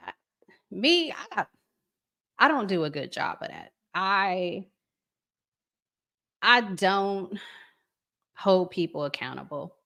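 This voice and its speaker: Slow-paced woman hosting a reflective chat. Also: deep voice, vocal fry, fairly bright tone. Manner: conversational, reflective, asks listeners rhetorical questions. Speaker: host reading and answering viewer comments. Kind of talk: casual live commentary chat with listeners.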